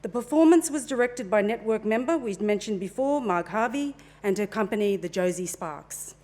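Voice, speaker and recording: A woman's voice: medium pitch, moderate pace. Middle-aged woman giving a forum talk, casual and chatty, handheld mic in a conference room. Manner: relaxed, chatty presenting with fillers and asides. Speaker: conference presenter.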